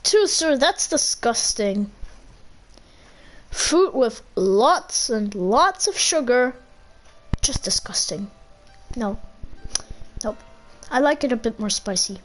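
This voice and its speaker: Gamer woman commentating, highish voice, super slow, short repeated reactions, bit of game music. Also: animated, rough recording. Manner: terse, repetitive muttered reactions. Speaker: gaming streamer. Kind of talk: casual game playthrough commentary.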